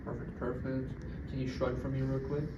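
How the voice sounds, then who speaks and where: A man's voice: mid-range voice, level tone, moderate pace, dull audio. A young man, a nursing student, politely giving step-by-step exam instructions and calling out findings in a matter-of-fact way. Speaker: nursing student acting as examiner. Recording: distant mic, echoey room, loud background noise.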